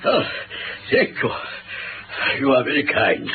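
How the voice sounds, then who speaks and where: A man's voice: medium pitch, huge pitch swings, measured pace, phone-quality sound. A man playing a large, pompous, middle-aged British headmaster in distress, speaking formally and fretfully in a radio drama. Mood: weak, urgent. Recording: noisy, phone-thin vintage radio recording.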